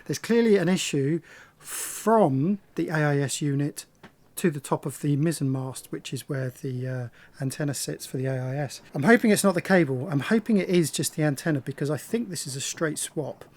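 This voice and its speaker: A man's voice: on the high side, normal speed, a little mellow. A man talking casually to camera as a sailing vlogger, explaining things and thinking aloud in a slightly hesitant way. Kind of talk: casual explanatory vlog talk.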